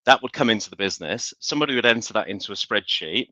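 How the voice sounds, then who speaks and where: A man explaining things in a measured, consultant-like way as an expert on a business webinar. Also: lowish voice, animated, bright, forceful tone, no room sound. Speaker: industry expert panelist. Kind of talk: conversational business webinar discussion. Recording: dull, band-limited online call audio.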